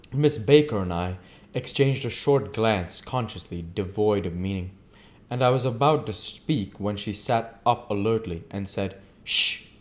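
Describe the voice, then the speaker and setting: A man reading a classic novel aloud as an amateur audiobook reader, with deliberate, emphatic, theatrical delivery. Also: lowish voice, a little mellow.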